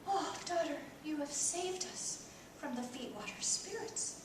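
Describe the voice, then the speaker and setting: A woman storyteller voicing a worried old widowed mother in a hushed, whispery voice. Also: high voice, lively intonation, unhurried, background noise, pitched above the performer's own voice. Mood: relieved. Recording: echoey room, voice from far off.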